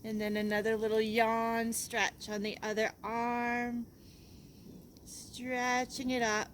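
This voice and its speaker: A woman yoga teacher leading a guided relaxation in a gentle, unhurried, soothing way, leaving pauses between instructions. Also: a little high, little pitch movement, slight background noise.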